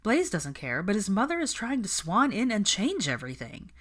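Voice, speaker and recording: A woman's voice: mid-range voice, huge pitch swings, normal speed, pitched above the performer's own voice. A woman voicing a stressed young witch in a story reading, venting emphatically about planning her wedding. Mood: frustrated.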